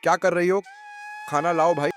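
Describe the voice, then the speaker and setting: A man playing a grumbling village farmer and husband in an expressive cartoon moral tale. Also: a little high, normal speed, faint music behind, natural voice. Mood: impatient. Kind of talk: acted cartoon storytelling.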